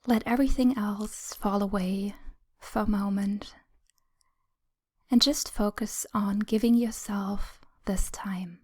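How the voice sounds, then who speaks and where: A woman leading a guided meditation in a soothing, gentle, breathy whisper, speaking in short phrases with long pauses. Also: medium pitch, fairly even intonation.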